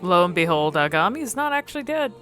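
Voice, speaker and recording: A woman's voice: on the low side, sing-song, fast, a bit bright. A woman giving casual, unscripted commentary on game cutscenes, musing hesitantly and often trailing off mid-thought. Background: music.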